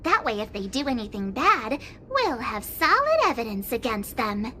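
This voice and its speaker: An actress playing a playful young woman adventurer in a video game, animated and cheeky. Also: fairly high pitch, moderate pace, a little hiss or hum. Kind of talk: game voice acting.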